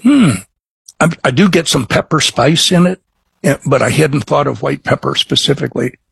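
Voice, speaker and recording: A middle-aged man from Chicago with a Chicago accent, chatting as a guest in an interview, halting with many restarts and fillers. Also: fairly high pitch, gravelly, fairly bright tone, husky, no room sound. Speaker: craft distiller guest. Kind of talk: casual interview chat.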